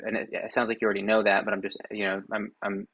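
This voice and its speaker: Male host, low flat voice, halting and thoughtful, muffled dry lo-fi sound. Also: breathy edge, no room sound. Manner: hesitant, thinking out loud with many fillers. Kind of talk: casual call-in listening chat.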